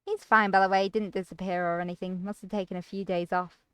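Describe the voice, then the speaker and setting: A woman's voice: mid-range voice, flat delivery, fast talker, smooth, no echo. A woman streamer reading visual novel narration expressively, with casual asides to viewers. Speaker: game streamer.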